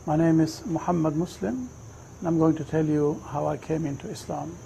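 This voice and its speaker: Guy in his 50s telling his life story, thoughtful, really creaky voice, bit of background hiss and chirring. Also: on the high side, moderate pace, warm tone, rough recording. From Sweden. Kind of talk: personal testimony, unhurried storytelling.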